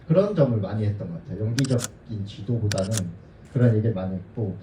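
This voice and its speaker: Dark-voiced man in an echoey cinema. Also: fairly low pitch, expressive, fast talker, slight background noise. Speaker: film director. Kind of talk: festival Q&A answer. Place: cinema auditorium. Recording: dull, roomy, distant hall sound.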